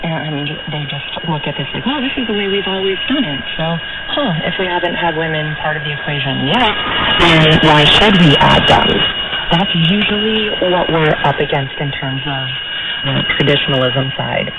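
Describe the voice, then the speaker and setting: A woman's voice: deep voice, lively intonation, normal speed. A woman speaking emphatically and explaining her views as a radio discussion guest, captured off shortwave radio. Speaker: interview guest on women in cycling. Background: heavy radio static and distortion.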